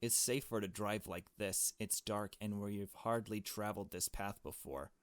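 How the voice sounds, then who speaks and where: Man voicing a low, subdued, polite driver. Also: fairly even intonation, smooth, no room sound, lightly acted. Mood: measured. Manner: measured, polite, formal, subdued. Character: calm, apologetic, cautious male getaway driver.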